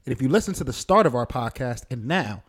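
A man's voice: medium pitch, expressive, fast, breathy edge. A man hosting a podcast in casual chat, talking emphatically with slang and swearing. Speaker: podcast host. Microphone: podcast studio microphone.

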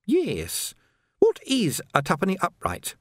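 A bright young woman lodger from a storybook, put on by a male narrator. She sounds innocent while quietly teasing. The recording is dry and close. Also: a little high, huge pitch swings, measured pace, no room sound, voice pushed up, heavily acted.

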